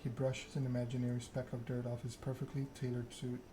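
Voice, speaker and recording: A man's voice: medium pitch, monotone, a little fast. A man reading a story game aloud in an ASMR narration style. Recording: clear background ambience noise.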